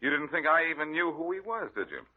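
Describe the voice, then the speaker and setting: An actor playing a cocky, wisecracking amateur sleuth who teases and needles, in 1940s radio style. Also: male voice, a little high, very animated, quick pace. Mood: teasing. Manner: flippant, needling. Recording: muffled old radio recording.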